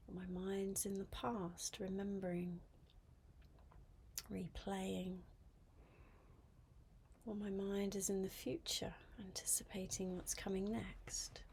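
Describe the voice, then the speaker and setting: A woman guiding a mindfulness meditation in a soft, breathy, half-whispered voice, gently and with long pauses. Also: mid-range voice, slight background noise. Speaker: meditation guide.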